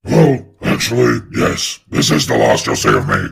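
An actor voicing a gloating male scrap-metal robot villain in a video game, with a gravelly, raspy voice. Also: extremely low pitch, huge pitch swings, moderate pace, a bit bright, exaggerated voice.

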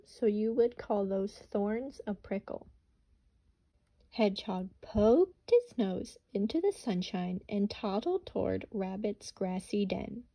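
Slow mellow-voiced young woman reading aloud. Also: medium pitch. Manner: slow and explanatory. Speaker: student teacher. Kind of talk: children's book read-aloud with vocabulary lesson.

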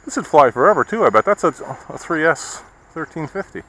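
A man's voice: fairly high pitch, very animated, fairly quick, a bit bright. A man chatting casually and bluntly as he flies hobby planes, with wry, sweary remarks, recorded outdoors in an open field. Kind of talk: casual chat while flying. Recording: noisy outdoor action-camera sound.

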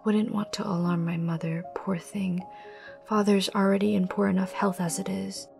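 Cartoon girl, anxious, cunning, earnest, creaky voice, breathy moments, music underneath. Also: medium pitch, flat delivery, moderate pace. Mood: anxious, pleading. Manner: earnest, guarded, pleading. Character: anxious, cunning young girl, a witchfinder in training. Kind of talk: animated drama dialogue.

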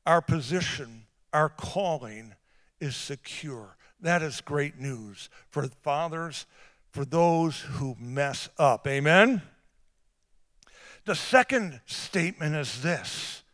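A man's voice: on the high side, lively intonation. Man preaching with a big voice. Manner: swings from quiet asides to shouted emphasis; drawn-out emphatic phrasing on key words. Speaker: pastor. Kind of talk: sermon.

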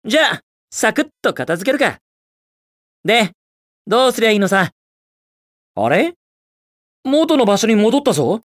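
A man's voice: sits high, very animated, a little slow, pauses often, fairly bright tone, no room sound, clean studio sound, heavily acted. A man voicing a cocky, carefree young hedgehog speedster hero in a video game, with a cool, brash, quippy delivery. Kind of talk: Japanese video game character voice lines.

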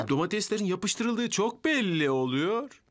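A man's voice: sits high, sing-song, a little slow, fairly bright tone, exaggerated voice. A man voicing a clumsy, anxious, good-natured young firefighter in a children's cartoon, stammering and flustered. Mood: worried, mournful. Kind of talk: animated children's cartoon dub.

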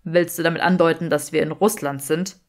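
A woman's voice: sits low, steady tone, a little fast, voice pushed down. A woman voicing a grumpy, sarcastic young male wizard in an audiobook, with a dry, sardonic delivery. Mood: dry, weary.

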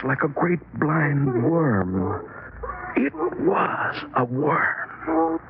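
A very noisy, narrow-band vintage radio recording carries a haunted, despairing man. He speaks slowly and broodingly, repeating himself with heavy melodrama, as in 1940s horror drama. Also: a little high, wildly swooping pitch, creaky, full character voice. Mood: anguished horror. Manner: slow, melodramatic, brooding monologue with repetition. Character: despairing, guilt-ridden man trapped by monstrous giant worms. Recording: noisy, muffled old-time radio recording.

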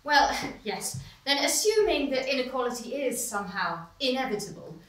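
A woman's voice: high voice, sing-song, normal speed, fairly bright tone, distant mic. Woman playing polite earnest lady moderator in a stage satire, lilting voice, roomy sound. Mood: politely probing. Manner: polite, formal, questioning. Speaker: actor in a stage play. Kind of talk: satirical stage play acting of a TV debate. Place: small stone-walled theatre stage.

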